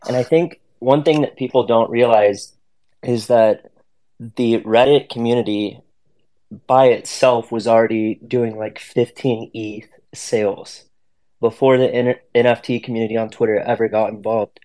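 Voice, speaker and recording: A man's voice: medium pitch, fairly even intonation, measured pace, dark tone, poor audio. A man speaking as a guest on an online voice chat, explaining and telling stories in a casual, slangy way. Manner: slangy, informal. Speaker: guest artist.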